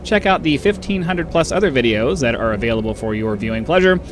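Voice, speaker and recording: Nasal guy rambling through a let's play, lots of uhs, mic with the bass turned up, some hiss behind him. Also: lively intonation, brisk. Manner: rambling, chatty, with frequent uhs and little laughs. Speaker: gaming channel host. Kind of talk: casual let's-play commentary. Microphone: desktop microphone with bass boosted by an equalizer.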